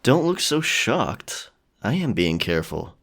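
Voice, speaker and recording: A man's voice: fairly low pitch, big pitch swings, measured pace, creaky, fairly bright tone, heavily acted. A man playing a cocky, teasing, self-assured sailor in a pirate romance audio drama, with a nonchalant manner. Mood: confident, casual. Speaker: voice actor.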